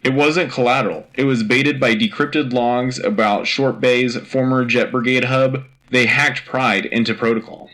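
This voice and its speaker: A man playing an earnest, patriotic radio anchor reading military news in a crisp, urgent, official manner. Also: medium pitch, steady tone, normal speed, few pauses, fairly bright tone, lightly acted, poor audio. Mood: grave, resolute. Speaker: radio host. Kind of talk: scripted military news bulletin.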